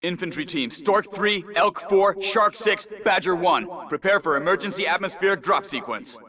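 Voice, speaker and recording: Distant, high-voiced military ship announcer, a man. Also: moderate pace, lightly acted. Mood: brisk, official. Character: brisk, businesslike warship announcer summoning troops. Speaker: video game voice actor. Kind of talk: military public address announcements. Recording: distant voice over busy crowd noise.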